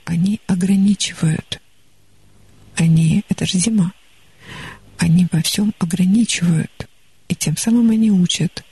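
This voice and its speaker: A woman psychologist counselling a caller on a studio radio call-in show, calm and gently advising. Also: lowish voice, a little slow, long pauses, slightly rough, no echo.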